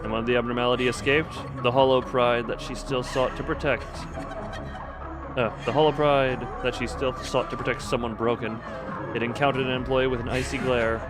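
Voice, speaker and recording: A man reading game story text as a solemn, remorseful manager recounting events in a deliberate way. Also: mid-range voice, flat delivery, over music, lightly acted, poor audio. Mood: dramatic.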